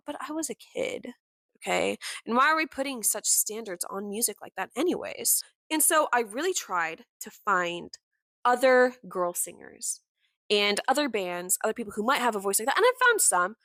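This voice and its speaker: A young woman talks in a warm, low-set voice that sits deeper than most women's. She rambles with passion and candor, filling her speech with "like" and the occasional swear word. The sound is dry and close, with little room behind it. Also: no echo. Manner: candid and impassioned, with frequent "like" fillers and mild swearing. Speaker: podcast host. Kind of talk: casual personal monologue.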